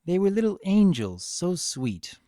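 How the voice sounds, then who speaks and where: A man voicing a kindly young female pony childminder in a gentle, friendly manner. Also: high voice, sing-song, a little slow, no echo, studio quality, voice pushed up. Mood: warm, approving.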